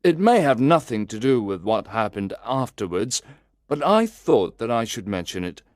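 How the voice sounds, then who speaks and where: A man narrating a first-person story in a slow, deliberate, carefully enunciated way, as if for language learners. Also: medium pitch, big pitch swings, no room sound, studio quality.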